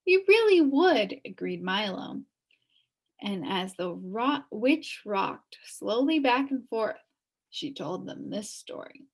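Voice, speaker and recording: A woman's voice: medium pitch, expressive, slow pace, husky, poor audio. A woman reading a children's story aloud expressively as the narrator. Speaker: storybook reader.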